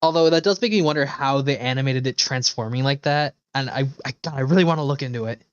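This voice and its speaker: A man's voice: mid-range voice, fast talker, smooth, dry recording, highs cut off. A man livestreaming with casual, enthusiastic and sweary commentary over video game cutscenes. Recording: game music playing loudly behind. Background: video game cutscene music and dialogue.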